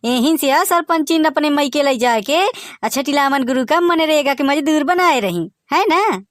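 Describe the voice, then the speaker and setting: A man's voice: extremely high pitch, expressive, moderate pace, a bit bright, no room sound, studio quality. A man with a Bagheli accent voicing a joking rustic village husband who also narrates the cartoon. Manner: folksy, joking, exclaiming.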